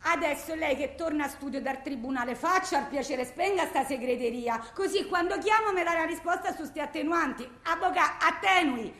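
A woman's voice: high-pitched, brisk, hardly any pauses, fairly bright tone. An actress playing a distraught, volatile blind wife with a Roman accent, rambling and self-justifying in agitated messages to her lawyer in a radio monologue. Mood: desperate, pleading. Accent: Roman Italian. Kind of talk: theatrical radio monologue, framed as phone messages. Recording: roomy, echoing sound.

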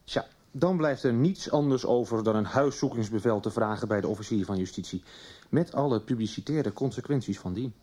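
A man's voice: medium pitch, brisk, warm tone, close to an everyday voice. A man acting in a 1990s radio soap as a cautious, placating small-town mayor. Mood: firm, evasive.

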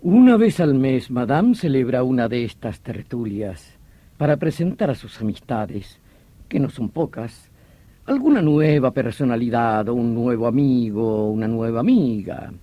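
An actor in a radio drama playing a vehement veteran detective, explaining things in an emphatic, theatrical manner. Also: male voice, medium pitch, expressive, a little slow, no echo, highs cut off. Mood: explanatory. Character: vehement veteran police detective obsessed with hunting a vampire villain.

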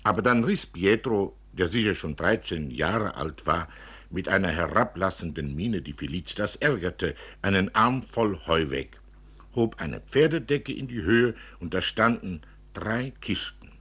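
A man reading a literary story as narrator, expressively, with dramatic and deliberate phrasing. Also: low-pitched, expressive, fairly bright tone, breathy edge. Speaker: narrator, reader.

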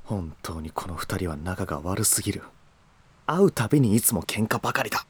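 An actor playing a guarded, proud young heir in a Japanese voice drama, with a curt, blunt, defensive way of talking. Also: male voice, low-pitched, expressive, fairly quick, breathy edge, no echo. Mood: exasperated.

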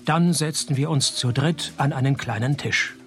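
An actor playing a wry, sardonic private detective in a comic noir radio play, narrating and talking in deadpan. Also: male voice, mid-range voice, normal speed, soft background music, lightly acted. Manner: deadpan, sarcastic. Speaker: narrator and lead character.

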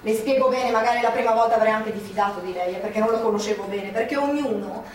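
In a very echoey theatre with audience sounds, a feisty Milanese partisan woman , played by an actress, talks quickly in a high voice. She is chatty, fond and insistent, tagging her colloquial remarks with little questions. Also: close to an everyday voice. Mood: chatty, explanatory. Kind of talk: theatrical stage dialogue. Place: theatre stage. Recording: very echoey theatre, distant mic, audience noise.

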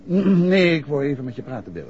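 An actor playing a shrewd, courteous gentleman detective with a Netherlands Dutch accent in a 1960s radio drama, polite but probing. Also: a little high, very animated, brisk, slight background noise. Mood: probing, direct. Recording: muffled old radio recording.

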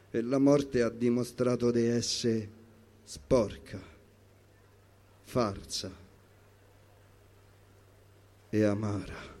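A man's voice: medium pitch, mellow. A man with a Roman accent reciting spoken-word poetry in a rhythmic, emphatic, dramatic way. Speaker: poetry performer.

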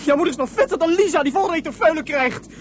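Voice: high voice, racing, a little hiss or hum, natural voice. An actor in a radio drama playing a young boy, insistent and questioning, often stammering. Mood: furious. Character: David, young boy pleading about his departing mother.

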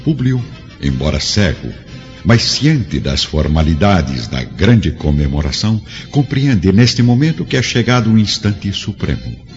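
A man's voice: low voice, sing-song, unhurried. A man narrating a radio drama in a solemn, grandiloquent, dramatic scripted style. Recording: dull lo-fi radio drama with music.

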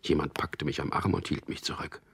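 An actor playing an earnest young suitor who narrates a radio drama in an intimate, measured, husky voice. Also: male voice, fairly even intonation, a bit bright, whispered, full character voice. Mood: reflective narration. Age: young adult. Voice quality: low, gravelly, husky. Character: young propaganda clerk Peter, narrator and tender suitor. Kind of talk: radio drama acting with first-person narration.

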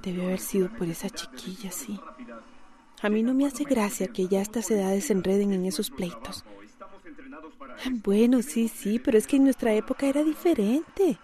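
A woman's voice: mid-range voice, normal speed, mellow, close to an everyday voice. An actress with a Costa Rican accent playing a worried middle-aged mother in a radio soap opera.

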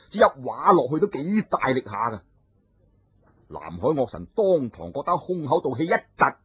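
A man's voice: medium pitch, slightly rough, no room sound. A man narrating a martial-arts tale in a dramatic traditional storytelling style. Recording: dull lo-fi recording.

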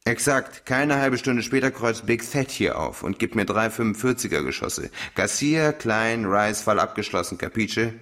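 Low-voiced, wry lab cop. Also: male voice, animated, normal speed, few pauses, a bit bright. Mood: dry, resigned. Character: wry, wary male police lab technician. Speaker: actor. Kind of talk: conversational radio crime drama acting.

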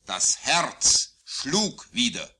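Man, very bright and tense voice, solemn poetry recitation, deliberate declamatory phrasing, medium pitch. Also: sing-song, slightly rough. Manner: declamatory, deliberate phrasing with falling line endings. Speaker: poet. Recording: digitized vintage vinyl record.

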